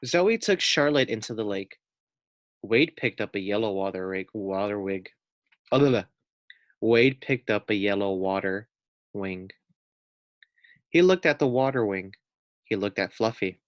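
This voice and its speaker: A man reading a children's storybook aloud like a teacher, pausing for casual asides and questions to his young listeners. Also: lowish voice, animated, dry recording.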